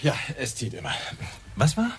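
A man's voice: mid-range voice, huge pitch swings, normal speed, darkish tone, husky, exaggerated voice. A man playing a matter-of-fact investigator explaining a crash scene in a radio crime drama.

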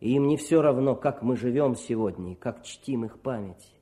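A man's voice: medium pitch, animated, normal speed, warm tone, lightly acted. An actor playing an earnest, patriotic male officer voicing his letter home in a heartfelt radio drama recitation. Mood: solemn, fervent. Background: faint music.

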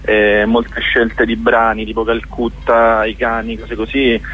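A man's voice: medium pitch, monotone, measured pace, slightly rough, narrow, tinny audio. A man with a Roman accent chatting casually as a guest director in a radio interview, sarcastic, slangy and sweary. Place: radio studio.

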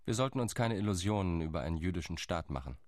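An actor playing a measured male Zionist envoy who delivers a sober monologue in a radio drama. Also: sits low, animated, darkish tone, no room sound, studio quality. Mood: reporting. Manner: sober, reporting tone.

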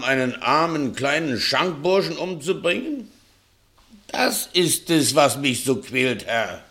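An actor playing a fearful, conscience-ridden servant and reluctant villain, plaintive and deferential, in a 1960s radio play. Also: male voice, mid-range voice, fairly bright tone. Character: timid, conscience-ridden male servant, reluctant thief and killer.

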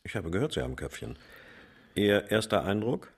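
Low-voiced, dry investigator. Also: male voice, big pitch swings, moderate pace, slightly rough, full character voice. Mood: dry, businesslike. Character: dry, businesslike male federal investigator, middle-aged. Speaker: actor in a German radio drama. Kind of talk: radio drama dialogue.

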